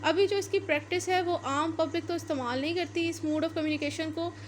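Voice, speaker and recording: A woman's voice: extremely high pitch, fairly even intonation, moderate pace, a little hiss or hum. A woman with a Pakistani accent giving an explanatory law lecture, repeating and rephrasing points in a didactic way. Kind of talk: explanatory lecture mixing Urdu with English legal terms.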